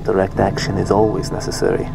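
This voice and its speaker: A man's voice: low voice, monotone, fast, creaky. A man playing a cool, wry recon sniper in a video game, talking in laid-back military brevity. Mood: relaxed, wry. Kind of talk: cinematic game acting. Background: music.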